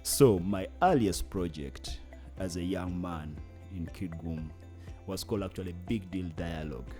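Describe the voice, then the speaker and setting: A man's voice: sits low, unhurried, dark tone, soft background music. A man from northern Uganda with a Ugandan English accent, speaking earnestly as a guest in an interview, often searching for words. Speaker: guest, climate activist. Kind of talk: interview conversation.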